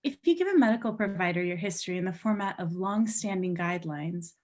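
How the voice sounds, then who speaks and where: A woman's voice: lowish voice, expressive, fast. A woman on a research panel giving scripted, explanatory answers as if reading them out.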